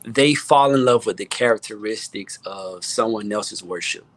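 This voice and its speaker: A man with an American accent talking casually as a guest music producer, halting and repeating words as he stresses his points. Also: lowish voice, no room sound. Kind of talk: casual panel talk.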